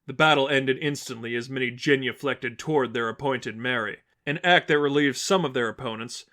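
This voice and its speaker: A man's voice: mid-range voice, fairly quick, sharp tone. A man reading scripted fiction as a narrator, in a storytelling style.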